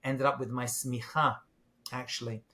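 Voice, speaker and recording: A man's voice: mid-range voice, flat delivery, moderate pace, sharp tone. A middle-aged man from London with a British accent, talking as a guest in an interview, self-deprecating and joking, with many fillers. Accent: British English, London. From England. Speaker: rabbi, guest. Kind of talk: casual interview talk.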